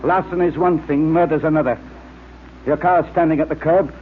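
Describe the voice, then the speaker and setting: Guy doing nervy defiant crook sidekick, high voice, tinny old radio serial sound. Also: fairly even intonation, fairly quick, faint music behind, natural voice. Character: wary, defiant henchman thief who balks at murder. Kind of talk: 1940s radio acting. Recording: tinny, faintly noisy old radio recording.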